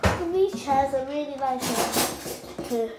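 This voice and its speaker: A woman's voice: high voice, expressive, slow pace, over loud music. A girl giving a hesitant, rambling house tour on a phone held at arm's length, with lots of ums. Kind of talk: casual home vlog. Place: suburban house, indoors and garden. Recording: noisy phone recording at home.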